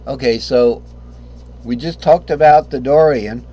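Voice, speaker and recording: A man teaching a casual guitar lesson, hoarse because he is losing his voice, who rambles, corrects himself and often clears his throat. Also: medium pitch, takes it slowly, warm tone, highs cut off. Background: guitar playing.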